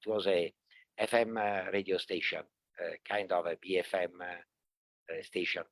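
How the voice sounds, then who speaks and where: An older man from Italy with an Italian accent, speaking casually as a panelist in a halting, jokey manner with many pauses. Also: deep voice, steady tone, dry recording, clean studio sound. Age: 60s or older. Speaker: panelist, property entrepreneur. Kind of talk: casual self-introduction to a live audience.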